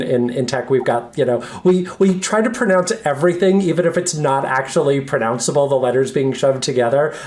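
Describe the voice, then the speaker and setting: A woman's voice: very deep voice, darkish tone. A woman hosting a casual tech show, asking long questions and often repeating words as she starts. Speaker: host. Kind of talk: casual interview chat.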